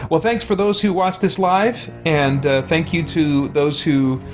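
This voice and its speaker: A man's voice: on the high side, measured pace, soft background music. A man hosting a game live stream, chatting informally and hesitantly as he thinks aloud. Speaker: video game streamer, host. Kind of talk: casual live stream chat.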